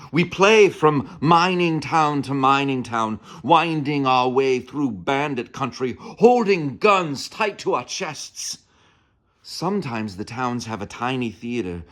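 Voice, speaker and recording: Earnest young nineteenth-century touring player performed by a man, dramatic stage monologue. Medium-pitched, slow, measured delivery. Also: fairly bright tone. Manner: theatrical, measured, with weighty pauses. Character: earnest young 19th-century touring actor recounting his youth. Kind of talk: dramatic first-person monologue.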